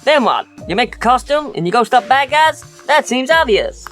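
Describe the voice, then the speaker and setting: Eager, earnest young superhero boy, played by a woman, lively swinging intonation, for a kids' storybook with faint music. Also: high voice, moderate pace. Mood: thinking aloud. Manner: excitable, earnest.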